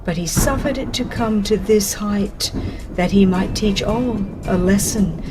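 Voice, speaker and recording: A woman reading a dramatized religious narration in a solemn, expressive, deliberate way. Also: medium pitch, noisy background. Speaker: audiobook narrator. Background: music.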